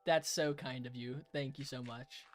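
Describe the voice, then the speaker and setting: A hoarse young man live-streaming a game, chatting casually with viewers, chuckling and thanking them. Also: medium pitch, steady tone, normal speed, faint music behind. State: hoarse, raspy throat.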